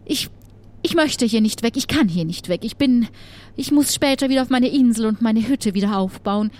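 Woman playing a troubled, high-voiced witch. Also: darkish tone, lightly acted. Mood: secretive, worried. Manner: halting, earnest, pleading. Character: troubled female witch, secretive trader in blood vials. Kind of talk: improvised audio adventure roleplay.

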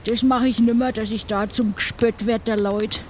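A woman's voice: fairly high pitch, steady tone, moderate pace, darkish tone, a little hiss or hum, lightly acted. A woman with a southern German accent playing a chatty, good-natured shipwrecked pirate in an improvised audio adventure. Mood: easygoing, chatty. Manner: chatty, colloquial.

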